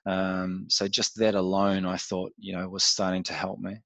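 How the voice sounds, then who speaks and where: A man's voice: sits low, completely flat delivery, normal speed, gravelly, fairly bright tone, dry recording. A man from New Zealand with a New Zealand accent, talking as a podcast guest in a reflective, casual way with frequent fillers. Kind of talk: casual interview chat.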